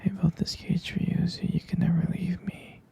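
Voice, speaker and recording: A man's voice: flat delivery, normal speed, mellow, full character voice. A man playing an obsessive, doting captor boyfriend in an intimate ASMR roleplay, murmuring and whispering in a soothing, possessive, cooing way. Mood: possessive, tender. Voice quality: breathy whisper with low gravelly murmur. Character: obsessive, doting yandere boyfriend holding his lover captive.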